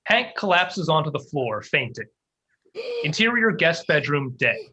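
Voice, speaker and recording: A man narrates a script's scene headings and actions. His voice is bright and forceful, sitting slightly high for a man. The delivery is scripted narration. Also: big pitch swings, a little slow, slightly rough. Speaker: stage-direction reader.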